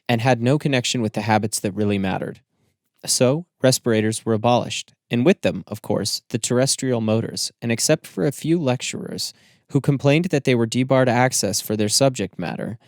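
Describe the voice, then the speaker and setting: A man's voice: mid-range voice, darkish tone, no echo, studio quality. A man reading scripted literary narration as the narrator of an audiobook.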